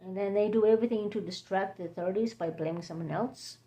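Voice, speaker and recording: A woman with a hoarse, scratchy throat, hosting a rambling late-night livestream chat from home, halting and drifting between topics. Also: mid-range voice, dark tone. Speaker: livestream host.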